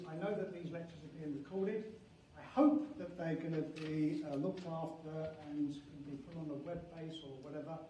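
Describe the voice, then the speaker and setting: An older man giving an academic conference lecture as a professor, halting and restarting phrases, with emphasis on key words. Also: sits high, warm tone. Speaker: university professor and head of geography school. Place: large echoing conference venue indoors. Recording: very echoey hall, distant mic, faint noise.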